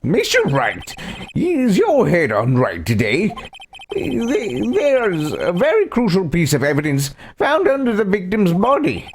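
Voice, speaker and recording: Man acting as a stern judge with swooping pitch. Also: huge pitch swings, unhurried, slight background noise, heavily acted. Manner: formal, stumbling over words. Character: stern, fussy male courtroom judge. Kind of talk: voiced video game playthrough. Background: video game music.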